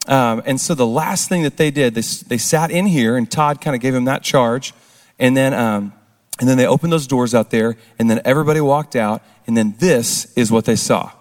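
Hoarse male speaker, mid-pitched, chatty testimony style, long reverb of a large church hall. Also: moderate pace. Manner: casual, engages the crowd with questions. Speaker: speaker at a recovery ministry service. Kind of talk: conversational testimony talk.

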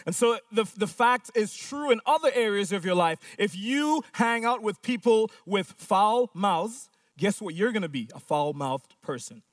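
A man with a high voice preaches a sermon, stressing his points by repeating phrases and firing off rhetorical questions. He is recorded in a dry space, a little off the mic. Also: expressive, measured pace, few pauses, no room sound, far from the mic. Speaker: pastor.

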